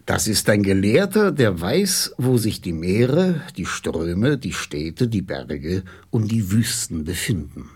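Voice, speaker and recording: Pompous old scholar, swooping and slow. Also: male voice, fairly low pitch, sing-song, darkish tone, husky, exaggerated voice. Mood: pedantic. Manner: pompous, pedantic, emphatic. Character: pompous, self-important old scholar, a geographer. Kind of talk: radio play acting.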